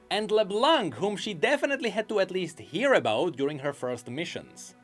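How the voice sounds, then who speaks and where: Guy doing game lore commentary, really animated, soft music under it. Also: high-pitched. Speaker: video essayist on game lore. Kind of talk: scripted commentary.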